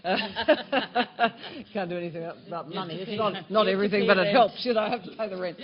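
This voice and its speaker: A man chairing a meeting, handing over to the next speaker in a relaxed, informal way with many fillers. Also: high-pitched, a little fast, dull audio, a crowd in the background. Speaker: meeting chair from the organizing agency.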